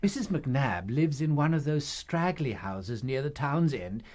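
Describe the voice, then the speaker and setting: An actor in a radio drama playing a mild, bumbling English parish priest, hesitant, polite and easily flustered, with a husky voice. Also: male voice, medium pitch, big pitch swings, unhurried, a little mellow. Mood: earnest, hesitant.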